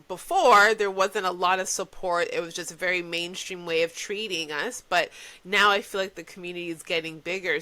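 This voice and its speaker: A woman's voice: medium pitch, bright, forceful tone. A woman hosting a podcast, upbeat and affirming, gushing over her guest. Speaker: podcast host. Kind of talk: casual interview.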